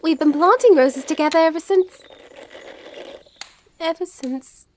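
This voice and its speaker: A woman's voice: very high voice, measured pace. A woman playing a determined, polite sixteen-year-old girl in a fairytale audio play, earnest in manner. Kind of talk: amateur audio play acting. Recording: faint background noise.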